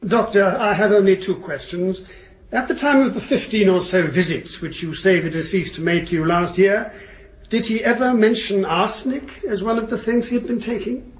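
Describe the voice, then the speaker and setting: Prosecutor, male actor: very high for a man, formal and pointed questioning, radio courtroom drama. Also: normal speed, close to an everyday voice, rough recording. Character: precise, pointed prosecuting barrister questioning witnesses.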